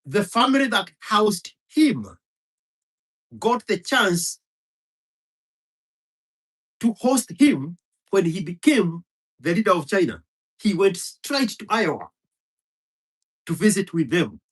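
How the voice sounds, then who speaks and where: Husky, heated man ranting. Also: high voice, very animated, takes it slowly, pauses often, a bit bright, poor audio. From Kenya. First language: Kikuyu. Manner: heated, profane, hammering repetition. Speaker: commentator. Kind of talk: impassioned monologue.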